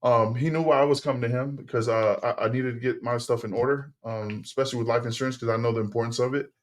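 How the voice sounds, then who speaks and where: A middle-aged man whose first tongue is Tongan speaks at an ordinary mid pitch. He talks like a relaxed podcast guest who circles back and restarts sentences. The tone stays easy and conversational. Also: quick pace. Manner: relaxed, rambling, many fillers and restarts. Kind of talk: casual interview storytelling.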